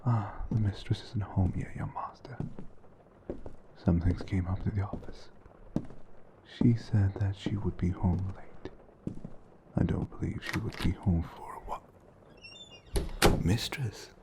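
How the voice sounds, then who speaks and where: A man playing a suave, teasing butler babysitter in an ASMR roleplay, speaking formally and gently firm in a breathy, close whisper. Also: steady tone, background noise. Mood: polite. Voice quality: breathy, close whisper with creaky low tones. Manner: formal, polite, unhurried.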